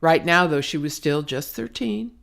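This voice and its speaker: A woman's voice: low-pitched, animated, normal speed, creaky, fairly bright tone. A woman narrating her own novel as an audiobook, in a dramatic storytelling manner with slangy, clipped phrasing. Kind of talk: scripted narration, audiobook reading.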